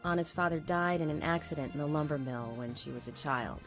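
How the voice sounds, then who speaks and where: Composed small-town policewoman for a video game, low register, plain-spoken, measured delivery. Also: soft background music. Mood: matter-of-fact, measured.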